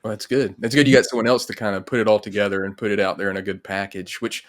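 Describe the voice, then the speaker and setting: Man, interview host, brisk pace, casual chat, agreeable, lowish pitch. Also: level tone. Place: indoor room, video call.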